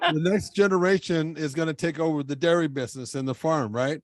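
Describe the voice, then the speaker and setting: A middle-aged man hosting a podcast interview, chatty and self-deprecating, quick to laugh at himself. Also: on the high side, dry recording. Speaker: podcast host. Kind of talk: casual interview chat.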